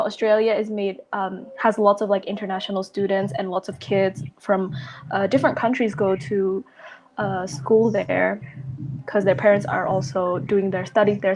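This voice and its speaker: Teen woman, Indonesian native speaker, middle-pitched, rambling casual podcast guest. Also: darkish tone, slight background noise. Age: teens. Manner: rambling, many fillers and restarts.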